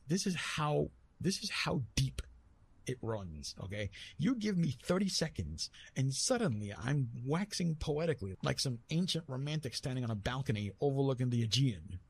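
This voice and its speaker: A man playing a retired god of love in an intimate ASMR roleplay, tender and teasing, speaking softly with a husky, breathy voice and halting, reassuring delivery. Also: mid-range voice, big pitch swings. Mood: playfully flustered. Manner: gentle, reassuring, halting with pauses and self-corrections.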